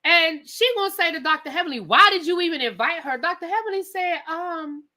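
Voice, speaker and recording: A woman's voice: very high voice, a little fast. A woman hosting a live TV recap, telling the story casually and acting out the quotes, with some swearing. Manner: animated storytelling, acts out quotes; profane, conversational. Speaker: TV show reviewer.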